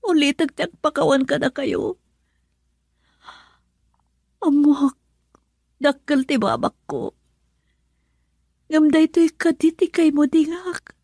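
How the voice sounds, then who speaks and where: A woman's voice: high voice, vocal fry, darkish tone, no echo, clean studio sound, heavily acted. A woman playing a troubled, yearning young wife and nurse in an Ilocano radio drama, speaking emotionally and pleadingly with an Ilocano accent. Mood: anguished, remorseful.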